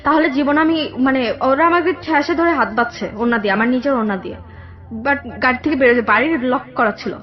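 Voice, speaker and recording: A young woman with a Bangladeshi accent telling her personal story in a radio interview, halting and repeating herself. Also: high voice. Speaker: guest telling own life story. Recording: dull lo-fi radio audio, background music.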